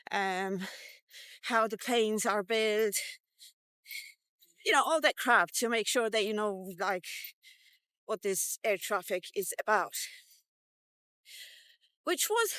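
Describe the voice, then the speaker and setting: A woman in her fifties tells a personal tale in a casual, candid style, with some swearing. Her voice sits a little high and she takes her time, rambling from one thought to the next. The recording is dry and close, with very little room sound. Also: fairly bright tone, clean tone, dry recording. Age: 50s. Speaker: vlogger. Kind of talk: casual personal storytelling, told in third person. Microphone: portable mic, walkie-talkie vlog.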